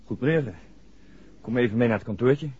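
An actor playing a polite, guarded young garage mechanic with a Netherlands Dutch accent in a 1960s radio drama. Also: male voice, medium pitch, a little fast, darkish tone, slight background noise, close to an everyday voice. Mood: deferential. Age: young adult. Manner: deferential, reticent. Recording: muffled old radio recording.

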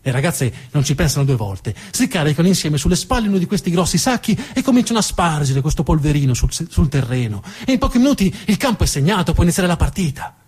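A man narrating a theatrical monologue with expressive, dramatic storytelling. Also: on the high side, quick pace, hardly any pauses, breathy edge. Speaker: narrator and actor.

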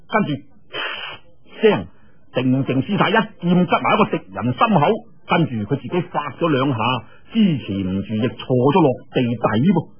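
A man with a husky voice tells a martial arts story in a dramatic, colloquial style with drawn-out particles. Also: a little high. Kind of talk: animated Cantonese storytelling of a martial arts novel. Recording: dull, lo-fi radio-like audio.

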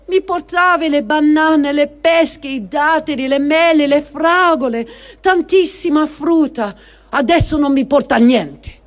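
An aggrieved woman in her eighties as a reader acts her out, plaintive and insistent. The voice is lifted in pitch and slow, spelling out each complaint in a dramatic radio reading. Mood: plaintive reproach. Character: bedridden 83-year-old mother, plaintive and reproachful.

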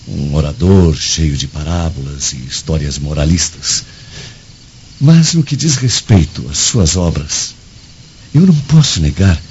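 An actor in a radio drama playing an earnest Roman guardian who reports in a measured, declamatory way. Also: male voice, low voice, a little mellow, exaggerated voice. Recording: dull lo-fi audio with faint noise.

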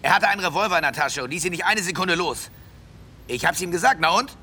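A man's voice: sits high, expressive, fast talker, pushed and bright, slightly rough, full character voice. An actor playing an arrogant, aggrieved wealthy man in a German radio drama, blustering and indignant as he defends himself. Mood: defensive, agitated.

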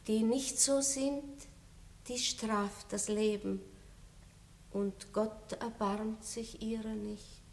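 An actress playing a gracious, aging aristocratic lady in a 1960s radio play, speaking softly with a tinge. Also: a little high, fairly even intonation. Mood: gently resigned. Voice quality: soft, measured, melancholy. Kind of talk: 1960s radio drama acting.

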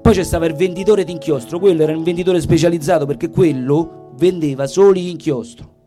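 Casting: male stage storyteller, Roman accent, soft dark tone, slightly high voice, folksy comic asides, music behind. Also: fairly quick. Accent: Roman Italian. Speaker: stage performer, storyteller and singer. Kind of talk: folksy live comic monologue in dialect.

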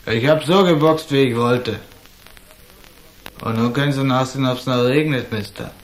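A man's voice: mid-range voice, moderate pace, natural voice, rough recording. A male actor playing a proud, suspicious prizefighter in a radio crime drama, blunt and challenging. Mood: guarded, dismissive.

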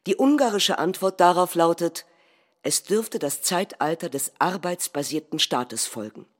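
A woman acting as a calculating nationalist head of government, delivering a measured, rhetorical political speech in a theatrical reading. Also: on the low side, lively intonation. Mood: measured, resolute. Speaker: stage actor.

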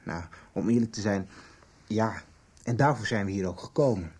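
A man's voice: fairly low pitch, normal speed, a little mellow, husky. A male actor playing an earnest, searching young dreamer in a radio soap, speaking hesitantly and questioningly. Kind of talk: Dutch radio soap acting.

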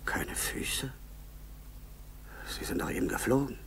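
An actor playing a plain-spoken, companionable military man in a 1960s German radio play, with a very husky voice. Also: unhurried, fairly bright tone, whispered, lightly acted. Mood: stunned.

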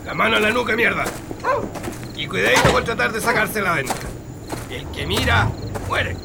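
Amid heavy engine noise, a threatening Chilean soldier for radio drama. His voice is raised and creaky, his orders short and clipped. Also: sits high, expressive, moderate pace. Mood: menacing. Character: menacing soldier guarding blindfolded prisoners.

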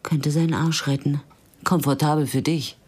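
A woman playing a pushy, blunt police colleague in a German radio crime drama, informal and persistent, with a husky voice. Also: sits low, fairly even intonation, normal speed, a little mellow. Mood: blunt, probing.

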